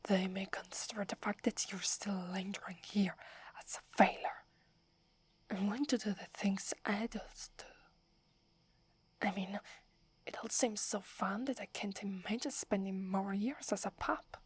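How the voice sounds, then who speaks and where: A haughty sixteen-year-old werewolf girl, whispered by a woman with an Italian accent, breathy and airy, right up at the mic. She drawls slowly, proud and wary, scolding in a hush like the forest is hers. The ASMR roleplay keeps every word soft and close. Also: medium pitch, fairly even intonation. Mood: flustered. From Italy.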